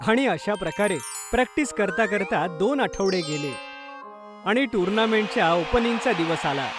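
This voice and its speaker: A man reads a scripted story for children in the role of narrator. His voice is notably high in pitch for a man. Music plays clearly underneath the narration. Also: animated, normal speed. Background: background music.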